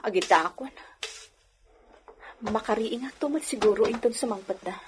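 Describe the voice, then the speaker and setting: A woman plays an anxious young mistress of the house in an audio drama. Her voice is very high and plaintive, with sighing phrases. Clear background noise sits behind her, with clicks and insects. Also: normal speed, natural voice. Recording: noisy track with clicks and insects.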